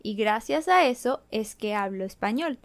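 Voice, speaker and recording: Young Colombian woman, slightly high voice, clear and measured podcast host narrating cheerfully. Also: expressive. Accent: Colombian, Bogotá. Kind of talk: scripted Spanish-learning podcast narration.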